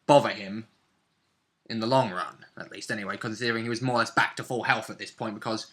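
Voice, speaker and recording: A man chatting casually as a player, recounting a tabletop role-play session in an animated storytelling manner. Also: fairly low pitch, quick pace. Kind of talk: casual chat recap of a game session.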